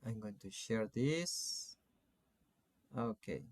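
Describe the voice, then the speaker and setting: A man in his forties from Argentina with an Argentine Spanish accent gives an informal online lecture as a coach, phrasing things in a halting, deliberate way. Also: lowish voice, lively intonation, darkish tone, dry recording. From Salta, Argentina. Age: 40s. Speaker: spiritual coach teaching an online course.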